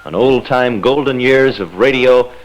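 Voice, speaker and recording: A man's voice: medium pitch, unhurried. A man hosting a live radio call-in talk show in an informal way. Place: radio studio. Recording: dull archival radio broadcast.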